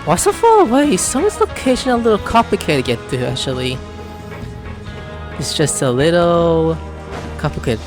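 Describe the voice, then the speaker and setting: Male gameplay host, casual commentary, lively intonation, slangy muttering, music underneath. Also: on the high side, moderate pace, pauses often. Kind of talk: casual let's play commentary, reading dialogue aloud.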